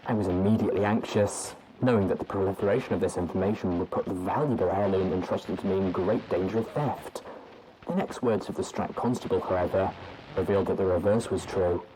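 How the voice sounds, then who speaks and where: A man reading a story's journal entries aloud in a measured, formal way. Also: deep voice, steady tone, background noise. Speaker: narrator. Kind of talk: audiobook narration of journal entries.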